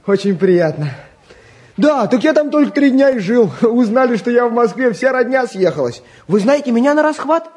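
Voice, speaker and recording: A man's voice: extremely high pitch, expressive, moderate pace, darkish tone. A man acting a breezy, boastful young provincial fellow, chatty and sociable, in a 1950s radio play. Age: young adult.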